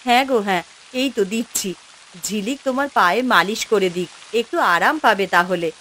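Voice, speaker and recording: A woman's voice: mid-range voice, lively intonation, brisk, a bit bright, a little hiss or hum, lightly acted. A woman voicing an anxious, caring village wife and mother in a cartoon, plaintive and fretful. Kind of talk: Bengali fairy-tale cartoon dubbing.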